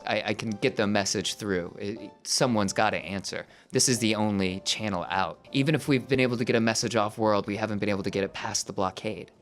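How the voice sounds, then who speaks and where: A man voicing a weary, determined woman rebel in a tabletop game, speaking urgently and haltingly. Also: fairly low pitch, steady tone, fairly bright tone, soft background music, close to an everyday voice. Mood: unsure, resolute.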